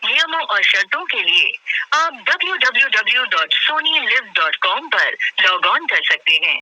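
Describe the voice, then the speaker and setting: A woman's voice: on the high side, very animated, normal speed, bright, forceful tone, no room sound. A woman reading scripted automated phone menu prompts in a formal, carefully enunciated manner, recorded from a telephone call. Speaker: automated phone line announcer.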